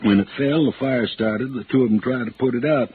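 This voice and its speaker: A man's voice: medium pitch, a little fast, phone-quality sound, lightly acted. A man playing a folksy, garrulous insurance agent in his late fifties in an old radio drama. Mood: folksy, gossipy. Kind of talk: 1950s radio drama acting. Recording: band-limited old radio, faint noise.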